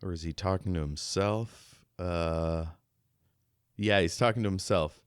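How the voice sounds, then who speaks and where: A man's voice: deep voice, measured pace, smooth, no room sound, studio quality. A man co-hosting a podcast, talking through a book in a casual, reflective, conversational way. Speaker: podcast co-host.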